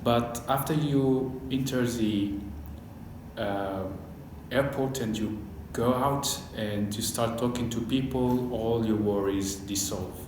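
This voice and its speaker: Slow, halting man in an echoey room. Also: medium pitch, darkish tone, slight background noise. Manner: halting, searching for words. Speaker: PhD student. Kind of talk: casual interview. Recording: very echoey room, faint ambience.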